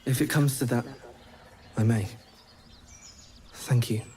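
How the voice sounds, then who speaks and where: An actor voicing a grave game warrior who speaks slowly and solemnly. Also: male voice, medium pitch, fairly even intonation, a little mellow, a little hiss or hum, lightly acted. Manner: slow, solemn.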